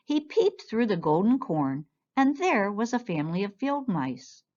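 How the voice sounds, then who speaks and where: A woman reads a picture book to children with a lively, expressive swing in her medium-pitched voice. The recording is a little dull and lo-fi. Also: measured pace. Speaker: children's storyteller. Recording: dull, lo-fi sound.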